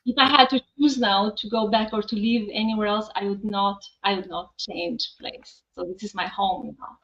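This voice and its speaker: Woman, Albanian accent, middle-aged, casual interview guest, medium pitch, muffled lo-fi audio. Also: steady tone, normal speed, fairly bright tone. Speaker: podcast guest, accountant.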